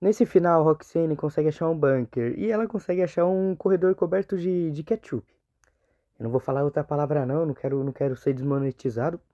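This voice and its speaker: A man's voice: a little high, fast talker, warm tone. A man with a Brazilian accent narrating a horror game explainer video in an informal, conversational way. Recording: muffled, narrow phone-like sound.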